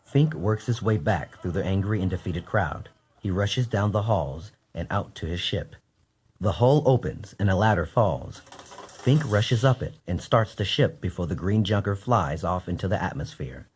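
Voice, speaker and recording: Really low voiced guy narrating an old style sci-fi radio play, dramatic storyteller. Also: lively intonation, slightly rough. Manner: theatrical, deliberate storytelling.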